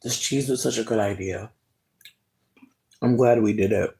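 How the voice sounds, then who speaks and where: A person livestreaming casual chat with viewers, sassy and expressive with lots of swearing, talking while snacking. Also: medium pitch, normal speed, gravelly, low-quality recording.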